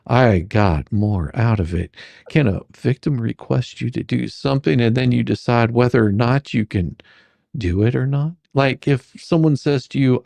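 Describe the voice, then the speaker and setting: A performer doing a comedic dramatic reading as a rambling, halting, faux-folksy lawyer questioning a witness in a deposition. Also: medium pitch, creaky, a little mellow, dry recording. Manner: halting, repetitive, mock-sarcastic, stumbling over questions.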